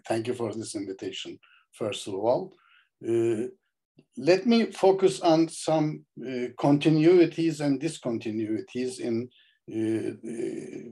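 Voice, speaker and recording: A man speaking as a discussant on an academic panel, deliberate, with frequent fillers. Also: medium pitch, darkish tone, slightly rough.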